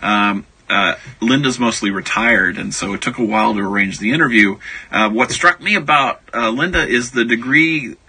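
Author guy being interviewed on radio, super bright crisp-edged tone but husky, explains stuff calmly. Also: medium pitch, moderate pace, rough recording.

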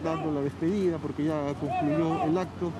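A man's voice: on the high side, fairly even intonation, moderate pace, a loud crowd, low-quality recording. A man reporting live for television, speaking hesitantly with fillers as he describes the scene. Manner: hesitant with fillers, handing over to a colleague. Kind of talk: live news commentary. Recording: loud street noise.

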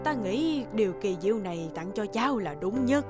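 A woman playing a kindly, wise old goose herder in a cartoon fairy tale, speaking in a measured, sage-like way. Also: medium pitch, expressive, lightly acted. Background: loud background music.

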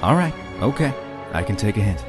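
Man playing a low-voiced, wry rogue. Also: sing-song, a little fast. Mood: resigned. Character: casual roguish man, teasing yet reassuring lover. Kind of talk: audio drama acting. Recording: loud music over the voice.

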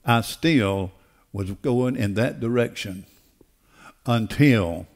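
An older man preaching a sermon in an emphatic, deliberate way, repeating phrases for weight. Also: mid-range voice, vocal fry. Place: church auditorium stage. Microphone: headset.

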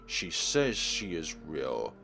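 Guy doing a creepy controlling computer program in a horror game, deep and really slow, stern. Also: sing-song, creaky, pushed and bright, faint music behind, exaggerated voice. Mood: menacing. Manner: slow, drawn-out, stern warnings.

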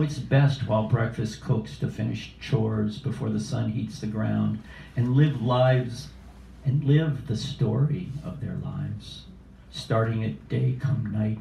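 A man in his sixties reading poetry live at an open mic in a measured, deliberate way, with casual asides. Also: on the low side, gravelly, dark tone. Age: 60s. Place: small indoor cafe open mic. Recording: roomy, distant cafe live stream with noise.

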